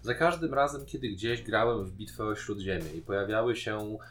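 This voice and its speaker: A man's voice: on the low side, normal speed, a bit bright, faint music behind. A man, a podcast co-host casually discussing a TV series in a colloquial, opinionated way.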